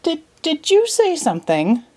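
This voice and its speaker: Storybook dodo gentleman acted by a woman: pompous, grumbling, oblivious, with lively intonation. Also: sits high, measured pace, voice pushed up, exaggerated voice. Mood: puzzled. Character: grumpy, oblivious, pompous male dodo bird.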